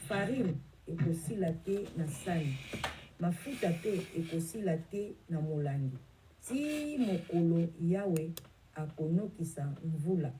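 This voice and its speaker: A woman reading a Bible passage aloud in a slow, measured way, now and then correcting herself. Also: on the low side, mellow, a little hiss or hum, low-quality recording. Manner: measured, careful reading with small self-corrections. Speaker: scripture reader.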